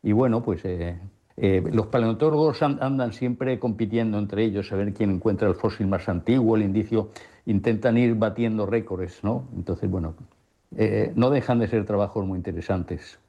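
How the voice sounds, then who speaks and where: A man's voice: on the low side, normal speed, warm tone, muffled recording. A man giving an informal explanation of science news as a panelist, tentative and checking in with his listeners.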